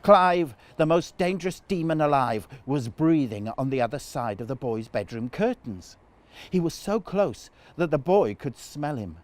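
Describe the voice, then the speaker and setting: A man reading a children's story aloud as a storyteller, with dramatic, deliberate phrasing. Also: on the high side, animated. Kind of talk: expressive children's story narration.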